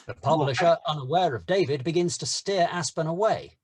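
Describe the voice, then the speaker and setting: A man narrating the stage directions of a screenplay at a table read, steady and descriptive. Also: on the high side, fairly quick, a little hiss or hum.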